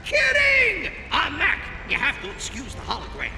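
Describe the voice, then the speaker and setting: A manic hologram huckster, very high and gravelly, in an echoey hall. He is a pushy, wisecracking fight-club greeter from a video game. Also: huge pitch swings, normal speed, bright, forceful tone, shouting, slight background noise, exaggerated voice. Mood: jokey, salesy. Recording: echoey hall with music behind.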